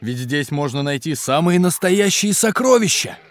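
An actor playing a hospitable, jolly geologist and cook at a polar station in a children's educational audio drama, with an animated, explanatory way of talking. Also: male voice, a little high, normal speed, natural voice. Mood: enthusiastic explaining. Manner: animated, chuckling, explanatory, hearty.

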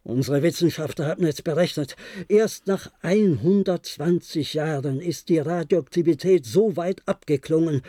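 An actor in a German radio play, playing an older, resigned former diplomat who reminisces in a measured, formal way. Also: male voice, fairly high pitch, warm tone, no echo, studio quality. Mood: resigned, somber. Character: resigned, reflective former diplomat, aging bunker survivor.

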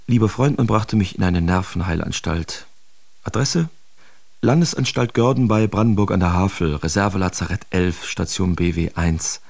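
Low, gravelly, embittered artist. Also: male voice, heavily acted. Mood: sombre. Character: embittered male artist writing letters from a wartime asylum. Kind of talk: dramatic reading of letters in radio play.

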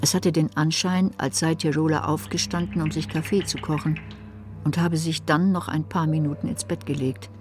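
A woman's voice: sits low, steady tone, normal speed, close to an everyday voice. Actress as female radio drama narrator, sober, matter-of-fact, scripted, music underneath. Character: sober third-person crime story narrator.

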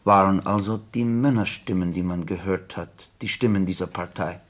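Low, husky detective's attentive companion. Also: male voice, moderate pace. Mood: earnest, thoughtful. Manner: questioning, attentive. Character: attentive, astonished male friend of a detective. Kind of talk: German radio play acting.

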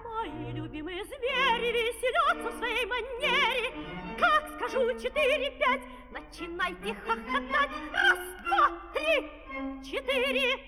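A very high, slow-paced female fairy-tale character, declaiming theatrically over loud orchestral music. The old record sounds echoey and distant. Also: animated, exaggerated voice. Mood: commanding. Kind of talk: 1970s theatrical opera acting and singing.

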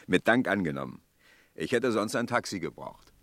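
A man playing a scientist who works nights, in a radio mystery play, with a husky voice and familiar, conversational manner. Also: on the low side, expressive, moderate pace, fairly bright tone. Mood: calm, friendly. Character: busy male scientist, a doctor working nights. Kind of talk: old German radio mystery play acting.

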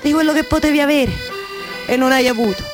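A woman's voice: sits high, music in the background, lightly acted. An actress with a Roman accent playing an impassioned, wistful wife in a theatrical monologue, repeating her phrases obsessively as she retells her husband's odyssey. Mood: lamenting, intense. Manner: obsessive repetition of phrases, halting then surging. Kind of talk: theatrical radio monologue with live music.

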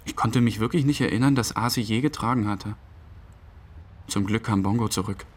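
A man's voice: on the low side, brisk, husky. An actor playing a subdued, hesitant man who recalls a friend's burial in plain, short sentences, as narrator of a radio play. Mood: reflective. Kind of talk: interview-like dramatic monologue, past-tense recollection. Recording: faint rain and ambience noise.

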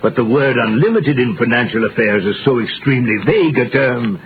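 An actor playing an uneasy, deferential French banker in a formal, hesitant manner, in the style of 1930s radio drama. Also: male voice, medium pitch, animated, a little fast, close to an everyday voice. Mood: flustered. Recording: scratchy, tinny vintage radio recording.

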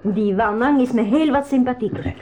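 A woman's voice: a little high, normal speed, darkish tone, lightly acted. A woman playing an outspoken, spirited crew member in a radio drama, in a roomy-sounding recording. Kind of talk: 1980s school radio drama acting.

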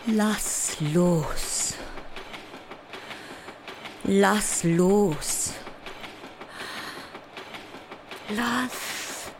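Low-voiced, overwrought sleepless actress. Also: female voice, slow pace, a little mellow, slight background noise. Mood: frantic. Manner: intense, fragmented, often dropping into breathy whispers. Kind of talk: theatrical audio drama monologue.

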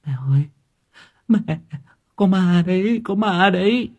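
A man's voice: on the high side, animated, normal speed, slightly rough, highs cut off, voice pushed up. A man voicing a frightened, tearful young pregnant woman who stammers and pleads. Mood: terrified.

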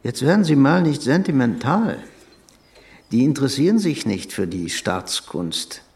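An actor playing a haughty, cynical aristocratic prince in a radio drama, condescending and sardonic. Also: male voice, medium pitch, very animated, unhurried, creaky, darkish tone. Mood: scornful, cynical.

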